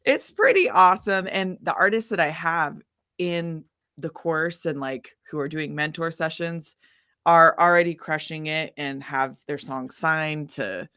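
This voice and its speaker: Casting: female indie musician and mentor, slightly low voice, enthusiastic, encouraging, conversational. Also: unhurried, bright, forceful tone, clean tone. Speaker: guest musician and songwriting mentor. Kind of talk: casual interview chat.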